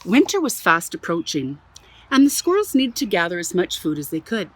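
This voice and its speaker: A woman from Canada reading a children's picture book aloud in her backyard for a museum, playful and expressive, with exclaimed asides. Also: lowish voice, normal speed, no echo, low-quality recording. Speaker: museum storyteller. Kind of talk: children's storybook read-aloud. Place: own backyard.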